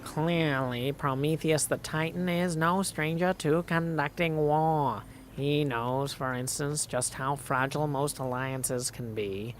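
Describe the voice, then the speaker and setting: A man voicing a grave, suspicious video game character who speaks in a formal, measured way. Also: fairly high pitch, a little hiss or hum. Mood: grave, wary.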